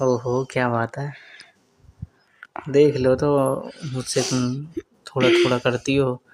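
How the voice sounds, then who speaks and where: A man's voice: mid-range voice, little pitch movement, takes it slowly, noisy background. Young man teasing on a private call.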